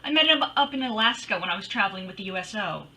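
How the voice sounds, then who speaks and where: A woman's voice: mid-range voice, lively intonation, fast talker, pushed and bright, slight background noise. A woman playing a wisecracking, breezy female private detective in old-time radio style, with wry, slangy delivery. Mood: dry, wisecracking. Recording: distant microphone.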